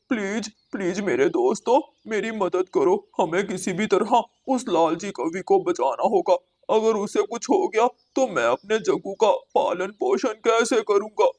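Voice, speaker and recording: A woman's voice: medium pitch, darkish tone, lightly acted. Woman voicing an anxious, scolding male crow. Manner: urgent, pleading, scolding. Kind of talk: children's animated story dialogue.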